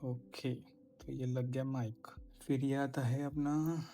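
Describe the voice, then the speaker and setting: A man's voice: mid-range voice, level tone, slow pace, mellow, soft background music. Man giving a casual step-by-step tutorial. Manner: step-by-step explaining, giving tips. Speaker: motorcycle vlogger. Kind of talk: casual hands-on installation tutorial.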